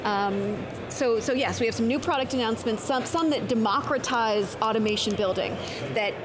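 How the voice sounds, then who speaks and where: Higher-voiced woman host on a show floor. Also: normal speed, background noise. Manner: long framing questions, light laughs. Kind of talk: interviewer questions at a live trade show. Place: TV set on a busy convention floor.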